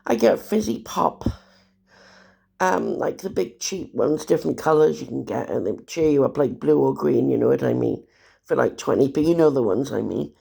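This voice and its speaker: A woman, hoarse from a cold, talking in a despairing, rambling way about her troubles. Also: extremely low pitch, animated, moderate pace, dark tone. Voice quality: gravelly. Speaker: vlogger. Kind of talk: rambling personal monologue.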